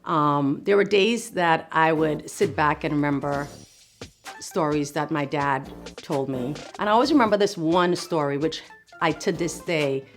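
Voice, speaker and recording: Low-voiced woman from Trinidad and Tobago. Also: unhurried, faint music behind. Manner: earnest, encouraging, reflective. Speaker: physician assistant. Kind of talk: speaking to camera about her work.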